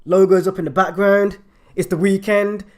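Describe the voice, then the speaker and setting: A man with a raspy voice, hoarse from a flu, chatting upbeat and direct to viewers as he reviews a microphone at home on a desktop USB mic. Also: high-pitched, fairly even intonation, normal speed. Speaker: YouTube channel host. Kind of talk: casual product review and unboxing. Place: at home, indoors.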